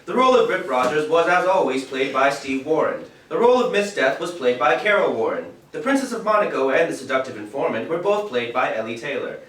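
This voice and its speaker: Man playing a lively old-time radio announcer, echoey. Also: fairly high pitch, fairly quick, hardly any pauses. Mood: showy, formal. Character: polished old-time radio announcer and dry sidekick actor. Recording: distant, echoey stage recording with hiss.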